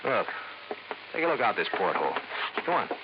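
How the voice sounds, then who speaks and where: An actor playing a slippery, defensive blackmailer in an old radio drama, insistent and brusque. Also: male voice, on the low side, very animated, brisk, muffled recording. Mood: insistent, scheming. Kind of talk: mid-century American radio drama acting. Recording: noisy, band-limited old radio recording.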